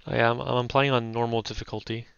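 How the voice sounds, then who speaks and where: A young man giving relaxed, chatty gameplay commentary, thinking aloud as he plays. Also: mid-range voice, quick pace, creaky, clear, resonant tone, muffled recording. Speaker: gaming YouTuber.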